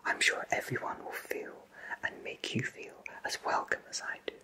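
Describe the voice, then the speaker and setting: A man whispering an ASMR roleplay close to the microphone as a courteous, cheerful potion shopkeeper, with genteel, theatrical phrasing and deliberate pauses. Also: sharp tone. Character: cheerful, courteous, flowery-spoken potion shopkeeper in a fantasy town.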